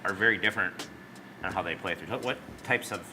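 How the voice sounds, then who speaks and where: A man's voice: low voice, fairly quick, background noise. A middle-aged man asking questions and chatting casually as an interviewer.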